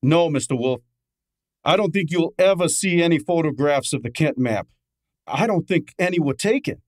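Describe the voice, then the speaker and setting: A man's voice: fairly high pitch, animated, moderate pace, dry recording, clean studio sound, natural voice. A man playing a brash, wisecracking detective's assistant in a radio drama, breezy and slangy. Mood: eager, teasing. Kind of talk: old-time radio drama acting.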